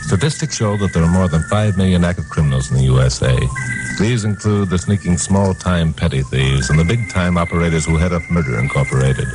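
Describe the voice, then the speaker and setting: An American actor playing a wry, hard-boiled crusading newscaster in a punchy 1950s radio crime drama. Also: male voice, deep voice, fairly quick, lightly acted, low-quality recording. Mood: hard-boiled indignation. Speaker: actor narrating and playing the lead. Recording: 1950s radio recording with music.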